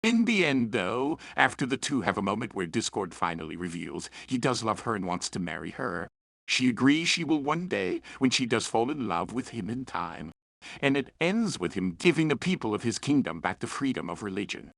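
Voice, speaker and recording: A man narrating a scripted review in an opinionated, emphatic way. His pitch moves around a lot and drops into noticeable fry. The recording is close and dry. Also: very animated, normal speed, a bit bright, no room sound. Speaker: story reviewer.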